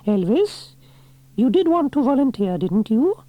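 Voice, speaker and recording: A man voicing a capable young woman firefighter in a storybook reading, brisk, kindly and a little bossy. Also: very high voice, very animated, no echo, narrow, tinny audio, voice pushed up, heavily acted.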